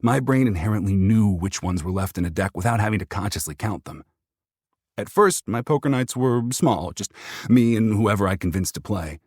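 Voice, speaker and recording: A man's voice: lowish voice, expressive, normal speed, breathy edge, no echo, studio quality. A man reading first-person fiction as an audiobook narrator, performing the text in character.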